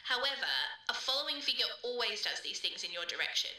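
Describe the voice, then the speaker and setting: A woman's voice: on the high side, animated, fast talker, sharp tone, distant mic. A woman playing a student who gives a precise, academic account of an eerie theory, recorded in a roomy space away from the mic. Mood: matter-of-fact, eerie. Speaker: student interviewee.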